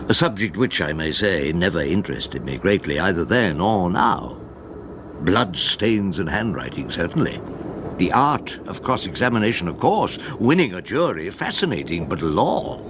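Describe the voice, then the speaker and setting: An older actor with an English accent playing a wry, rumpled ageing barrister in a radio drama, droll and theatrically ruminative. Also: male voice, sits low, background noise. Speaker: lead character and narrator.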